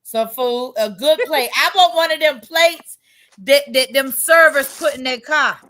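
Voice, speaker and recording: A woman co-hosting a casual chat with loud, salty, cussing banter. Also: high voice, measured pace, slight background noise. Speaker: co-host.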